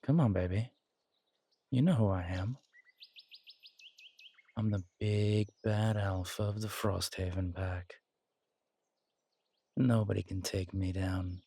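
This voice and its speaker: A man playing a confident, protective alpha werewolf boyfriend in an intimate roleplay audio drama, husky and soothing, teasing and reassuring in a casual way. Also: low-pitched, steady tone, takes it slowly, pauses often, mellow, heavily acted. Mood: playful, boastful.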